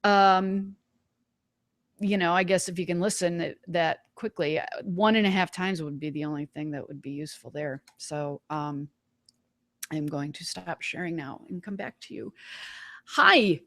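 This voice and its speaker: A woman hosting a webinar, giving a casual live software demonstration in an informal, folksy way, thinking aloud. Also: lowish voice, unhurried, no echo. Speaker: webinar host.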